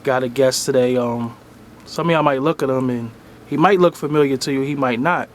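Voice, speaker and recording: A man's voice: mid-range voice, fairly quick, a little hiss or hum. A man hosting a casual hip-hop interview in a recording studio, asking questions in a laid-back, slangy way. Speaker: interviewer and host.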